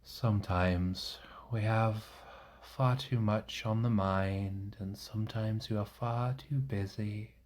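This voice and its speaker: A man with a fairly low voice guides a meditation at a very slow pace. He speaks gently and reassuringly in short, soothing instructions. Long pauses separate his phrases. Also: fairly even intonation.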